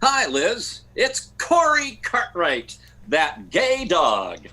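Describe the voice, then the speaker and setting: A man's voice: high-pitched, huge pitch swings, bright, forceful tone, exaggerated voice. A man playing a melodramatic, easily flustered bachelor playboy in 1940s-style radio comedy, with a theatrical delivery. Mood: droll, lovelorn. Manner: drawn-out, theatrical, stammering when flustered.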